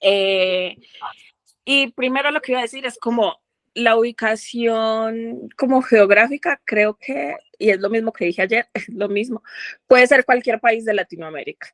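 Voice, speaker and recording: A woman's voice: a little high, unhurried, fairly bright tone, no room sound. Woman thinking aloud, very dry sound. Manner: rambling, thinking aloud, many fillers and restarts. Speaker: book club participant. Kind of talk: casual group discussion.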